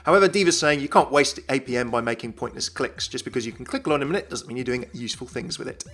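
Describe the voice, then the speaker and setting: A man's voice: medium pitch, rapid-fire, a bit bright. A man narrating a scripted video game explainer as a YouTube host, enthusiastic and explanatory.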